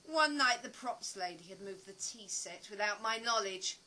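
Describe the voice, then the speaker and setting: A woman's voice: mid-range voice, expressive, moderate pace, sharp tone, poor audio. An actress playing an earnest, philosophical woman survivor in an audio play, with reflective, dramatic phrasing. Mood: animated, reminiscing.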